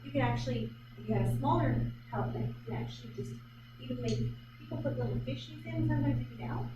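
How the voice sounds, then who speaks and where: A woman's voice: high voice, quick pace, muffled recording. Chatty woman painting in an echoey gallery. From Vancouver Island, Canada. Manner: chatty, self-questioning, hesitant with fillers. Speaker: artist leading a painting demonstration. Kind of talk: live painting demonstration, thinking aloud. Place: art gallery. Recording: echoey room from far off, loud background noise.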